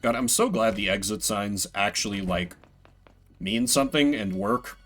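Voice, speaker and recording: A man's voice: fairly low pitch, animated, normal speed, fairly bright tone, soft background music. A man giving casual live game commentary as a streamer, rambling with playful, self-deprecating asides.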